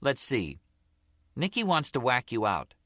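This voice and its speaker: Man voicing a sly, brazen gangster's girlfriend, pitched up. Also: wildly swooping pitch, unhurried, a bit bright, no echo, studio quality, heavily acted. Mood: coy. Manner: teasing, streetwise.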